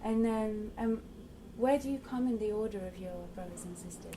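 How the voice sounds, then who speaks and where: Lady interviewer, calm questions w lots of ums, steady background hiss. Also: fairly high pitch, moderate pace, far from the mic, rough recording. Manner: gentle, hesitant questions with ums. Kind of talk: oral history interview.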